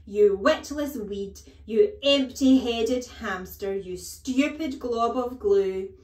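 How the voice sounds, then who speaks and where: A woman voicing a huge, menacing, tyrannical storybook headmistress, scornful and snapping, with drawn-out emphatic words. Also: medium pitch, steady tone, a little mellow, reverberant, close to an everyday voice. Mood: bellowing fury.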